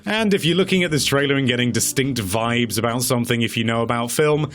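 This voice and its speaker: A man's voice: medium pitch, fast talker, fairly bright tone. A man presenting a countdown-style video in a chatty, casual way, with wry, tongue-in-cheek commentary.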